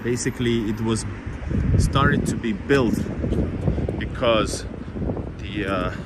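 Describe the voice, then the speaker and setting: A man whose first language is Russian rambles through a live tour on a city street, his fairly low voice full of hesitations and asides to the viewers. Loud traffic runs under everything he says. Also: slow pace. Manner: rambling and hesitant, many fillers, keeps addressing viewers. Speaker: host giving a live walking tour. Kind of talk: live-streamed walking tour chat.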